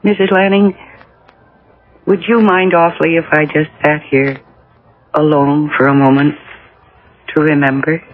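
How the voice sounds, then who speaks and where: A woman's voice: low voice, fairly even intonation, a little slow, pauses often, slight background noise. An actress playing a sweet, wistful young woman in a 1980s radio drama, dreamy and polite. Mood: dreamy, nostalgic. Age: young adult. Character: sweet, wistful young woman revisiting her childhood home. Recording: narrow-band vintage radio broadcast.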